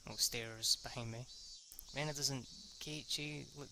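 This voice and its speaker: A young man reads a visual novel aloud in a casual, unpolished way, often tripping over words and restarting sentences. His voice sits at a middle pitch. Insects and crickets chirp clearly in the background of his homemade recording. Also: steady tone, background noise. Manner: reads haltingly, restarts lines and swears at slips. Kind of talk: casual let's-read narration.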